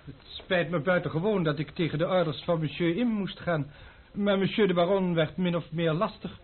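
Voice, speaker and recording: An actor playing a formal, unflappable manservant with stiff, deadpan deference in an old-fashioned Dutch radio drama. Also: male voice, sits high, moderate pace. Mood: deferential, deadpan. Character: formal, unflappable manservant, obsequiously polite, understated. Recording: muffled old radio play recording.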